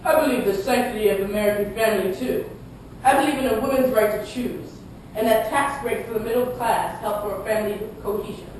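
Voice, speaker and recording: A woman's voice: fairly quick, a little mellow, close to an everyday voice. A woman playing a confident male president giving scripted campaign statements in a puppet show debate. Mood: earnest. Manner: declarative campaign speechmaking. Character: male US president, confident candidate defending his record. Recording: echoey room, distant mic, rumbling noise.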